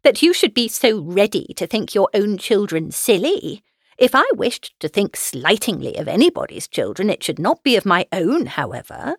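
Lady doing a wry middle-aged dad from an old novel, dry and measured, gentlemanly, no room echo. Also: mid-range voice, sing-song, slightly rough. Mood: dry reproach. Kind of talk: dramatised classic novel reading.

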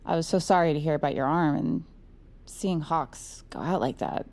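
A woman's voice: low voice, normal speed, vocal fry, darkish tone. A woman playing a chummy superior agent in an audio drama, talking in a casual, wry, coaxing way. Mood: wry, breezy. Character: breezy, chummy, sly superior female agent. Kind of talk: scripted audio drama acting.

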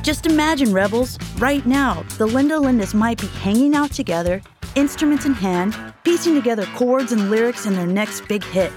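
A woman's voice: on the high side, animated, normal speed. A woman reading a scripted children's podcast story, addressing her listeners in an encouraging way. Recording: music behind the voice.

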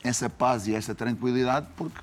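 A man with a European Portuguese accent, a football coach reflecting deliberately on his work in an interview. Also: mid-range voice. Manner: measured, deliberate explaining.

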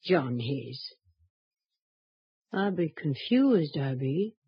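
A woman's voice: low-pitched, very animated, drawn-out words, husky, heavily acted. An actress playing a gossipy, slightly dim married village woman in an old radio drama, with speech, folksy and given to repeating herself. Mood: pitying, confused. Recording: muffled, hissy old radio recording.

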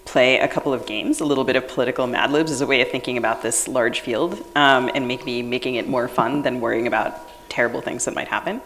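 Female co-host, very low voice, live stage podcast, loose rambling delivery. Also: fairly even intonation, fast, a bit bright, long reverb tail, soft background music, rough recording. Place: stage at a live book festival event.

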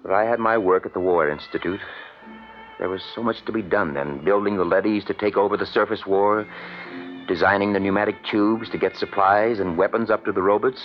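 A man acting a weary middle-aged father, a guarded scientist in a science fiction radio play. His very low voice is measured and grave. The old broadcast sounds dull, with faint music behind. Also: steady tone, lightly acted. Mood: somber, wistful. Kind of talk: 1950s radio drama acting.

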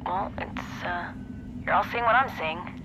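Low-voiced woman sub pilot, acted over comms. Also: measured pace, background noise, natural voice. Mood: awed, uneasy. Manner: terse radio procedure mixed with casual swearing. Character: capable, salty female submersible pilot on a deep dive. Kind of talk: naturalistic audio fiction acting.

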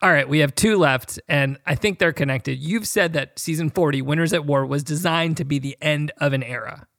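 A man's voice: a little high, normal speed, creaky, a bit bright, no echo, clean studio sound. A man asking questions as a podcast interviewer in a conversational style.

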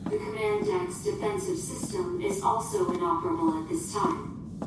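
A woman's voice: extremely high pitch, wildly swooping pitch, normal speed, heavily acted. A woman playing a literal, formal ship computer in a science fiction audio drama, speaking in precise, emotionless phrasing. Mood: calm, formal. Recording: very echoey, distant, noisy ambience.

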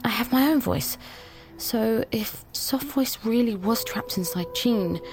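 A woman's voice: a little high, unhurried, over music. A woman playing a troubled, literal-minded, questioning character in a naturalistic audio drama, earnest and hesitant. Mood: puzzled. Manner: hesitant, earnest, at times hushed.

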